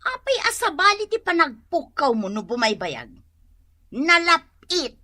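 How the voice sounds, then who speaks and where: An actress playing a fretful, nosy village mother in an Ilocano radio drama, with drawn-out exclamations. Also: high voice, sing-song, bright, forceful tone, exaggerated voice. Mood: suspicious.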